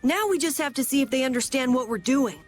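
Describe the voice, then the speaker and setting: Brisk young girl for anime game dub: high, lively, bossy, quick to fluster; music underneath. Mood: earnest.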